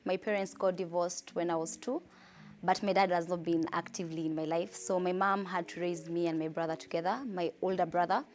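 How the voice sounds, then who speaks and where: A young Kenyan woman with a Kenyan accent, telling her life story in a chatty, animated interview. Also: on the low side, brisk, slight background noise. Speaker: entrepreneur.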